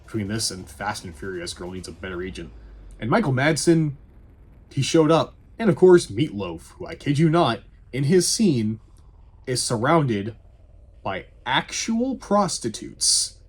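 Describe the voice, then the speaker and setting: A man with a husky voice giving casual, scripted movie review commentary in a sarcastic, irreverent, sweary manner. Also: medium pitch, animated, measured pace. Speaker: video reviewer.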